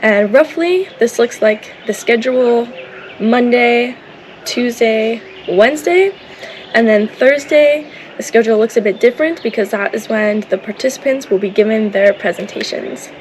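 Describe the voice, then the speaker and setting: A woman's voice: a little high, normal speed, a little mellow, a little hiss or hum. Upbeat woman vlogging, faint ambience. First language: English. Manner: upbeat, enthusiastic, repeats "awesome". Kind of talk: casual vlog talk to camera.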